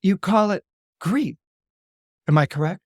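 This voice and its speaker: A storyteller playing an imperious, wrathful goddess who pronounces things slowly and coldly in a commanding way. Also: medium pitch, a little mellow, no echo, clean studio sound. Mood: coolly curious.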